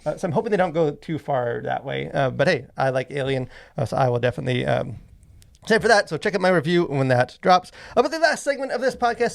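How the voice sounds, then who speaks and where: A man's voice: fairly high pitch, gravelly. A man hosting a podcast in a casual, rambling, enthusiastic way, leaning heavily on "like" as he talks. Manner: rambling, enthusiastic, heavy use of "like". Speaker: podcast host and movie reviewer.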